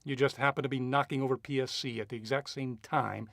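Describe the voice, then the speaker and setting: A man's voice: mid-range voice, fairly even intonation, fast, creaky, a bit bright, no room sound. A man playing a shrewd, cynical private investigator in a radio drama, both narrating and questioning in a noir style. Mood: probing, cool. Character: shrewd hard-boiled male private investigator, cynical and probing.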